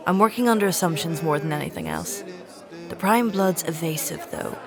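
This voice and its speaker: An actress playing a guarded, scholarly alchemist in an audio drama, speaking in a dry, precise, understated way. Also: female voice, on the low side, expressive, normal speed. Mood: dry, technical. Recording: busy crowd and music behind.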